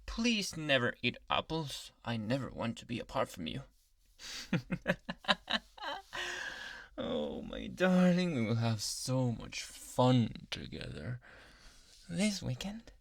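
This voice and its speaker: A man voicing a possessive, obsessive yandere doctor in an anime ASMR boyfriend roleplay, speaking softly and coaxingly, often in whispers. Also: mid-range voice, very animated, slow pace, vocal fry, long pauses, no room sound, studio quality, full character voice. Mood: affectionate, playful. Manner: soft, intimate, coaxing, often dropping into whispers. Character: possessive, obsessive male yandere doctor, sweetly menacing lover. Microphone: close microphone.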